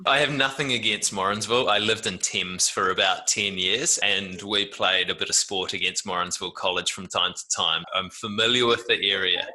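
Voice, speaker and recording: A man's voice: sits low, steady tone, normal speed, hardly any pauses, bright, forceful tone, rough recording. A man hosting a podcast, talking in an easygoing, rambling way as he introduces his guests. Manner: easygoing, rambling with small restarts. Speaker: podcast host. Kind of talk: relaxed podcast interview.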